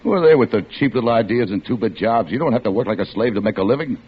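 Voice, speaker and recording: A man's voice: on the low side, lively intonation, rapid-fire, husky. An American man playing a bitter, defensive out-of-work husband in hardboiled vintage radio drama style, slangy and sullen. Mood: defensive, irritated. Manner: slangy, tough-talking, sullen. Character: bitter, defensive out-of-work husband telling his own crime story. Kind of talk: 1940s-50s American radio acting, hardboiled first-person narration. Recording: muffled vintage radio drama recording with music.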